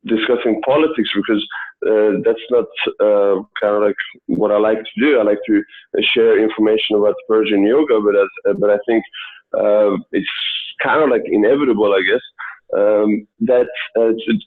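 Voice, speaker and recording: A man who grew up in Sweden answers interview questions in a halting way, full of "uh" fillers. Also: lowish voice, fairly even intonation. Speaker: guest, Persian yoga instructor. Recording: tinny phone-like line.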